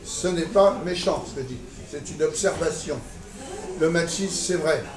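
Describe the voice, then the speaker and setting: A man's voice: on the high side, normal speed, a crowd in the background. An older man speaking bluntly and provocatively in a debate, with frequent restarts. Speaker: audience member. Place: conference hall with audience. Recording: echoey hall, distant mic, audience.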